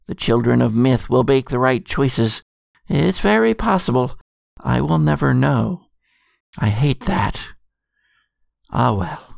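A man playing a weary, secretive and resigned keeper of lore, delivering a measured, portentous dramatic monologue. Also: mid-range voice, vocal fry, dry recording, clean studio sound, exaggerated voice. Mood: resigned, grim. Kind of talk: scripted dramatic narration.

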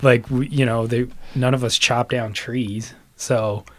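A man with an ordinary middle-pitched voice chats casually in a wry, joking way. He delivers dry remarks and laughs at his own lines. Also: fairly even intonation, unhurried, a little hiss or hum. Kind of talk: casual chat.

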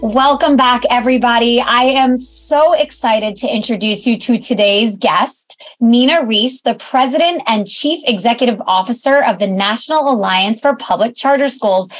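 Young woman podcast host, slightly high voice, enthusiastic welcomer. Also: normal speed, hardly any pauses, soft background music. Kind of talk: podcast hosting, scripted intro.

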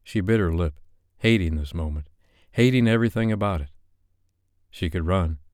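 A man's voice: sits low, normal speed, a little mellow, no echo, clean studio sound. A man narrating a romance audiobook in a scripted, dramatic storytelling style.

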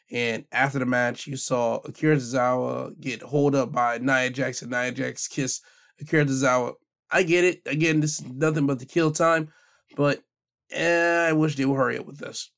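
A man hosting a podcast, giving a casual, rambling recap of the week's wrestling with a hoarse voice. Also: mid-range voice. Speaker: podcast host.

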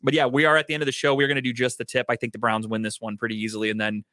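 A man's voice: mid-range voice, level tone, racing, pushed and bright. An American man hosting a show with casual, blunt, profane sports chat. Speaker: show host.

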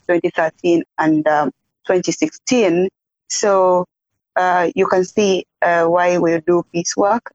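A young woman from South Sudan, raised in Uganda, speaking as a podcast guest in a soft, measured way with many fillers. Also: on the low side, no echo, muffled recording. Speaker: podcast guest, peace activist. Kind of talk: interview.

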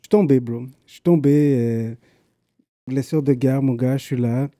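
A man's voice: medium pitch, steady tone, moderate pace, dark tone, no echo, clean studio sound. A man from Guatemala with a Quebec French accent, chatting casually as a guest and dropping English slang into his talk. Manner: laid-back street slang, mixes in English. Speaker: podcast guest, videographer. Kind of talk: casual chat.

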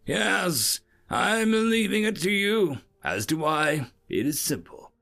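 A man's voice: on the high side, very animated, slow, vocal fry, pushed and bright, pitched above the performer's own voice, heavily acted. A man voicing a self-assured, airy and curt alien senior observer in a science fiction story. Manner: firm, dismissive.

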